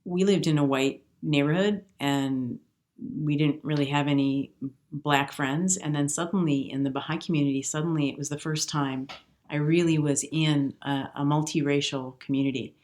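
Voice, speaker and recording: A woman's voice: low voice, steady tone, normal speed, a little mellow. Woman in her fifties, Midwest-raised jazz singer, guest in an interview, talks in a reflective, earnest way. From Milwaukee, US Midwest. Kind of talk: conversational interview.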